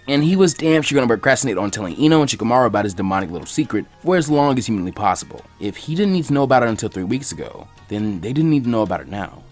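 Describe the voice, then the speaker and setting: A man reading fan fiction aloud, quick and lively, putting on voices for the characters. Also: medium pitch. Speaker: fanfiction reader.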